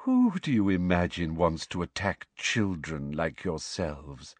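A man's voice: medium pitch, huge pitch swings, slow, a bit bright, dry recording, voice pushed up, full character voice. A man voicing a smug, sickly-sweet middle-aged female teacher from a storybook, with a honeyed trill and a precise, dismissive manner. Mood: coolly dismissive. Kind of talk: audiobook character voice.

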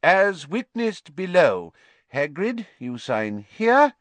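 A man's voice: high voice, big pitch swings, slow pace, dry recording, voice pushed up, exaggerated voice. A man voicing an officious committee official in an audiobook, formal and reedy. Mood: formal reading.

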